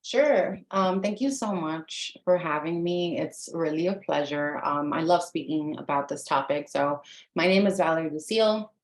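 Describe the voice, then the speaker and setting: A woman's voice: deep voice, normal speed, reverberant. A woman in her thirties, a native Spanish speaker, giving an earnest, conversational online workshop as a life coach, talking straight to her audience. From United States.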